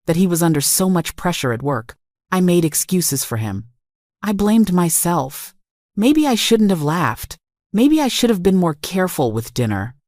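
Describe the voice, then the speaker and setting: A woman with a low voice delivers scripted, dramatic first-person narration. Her manner is confessional, leaning on short emphatic phrases. The recording is dry and close. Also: darkish tone, dry recording. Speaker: story narrator.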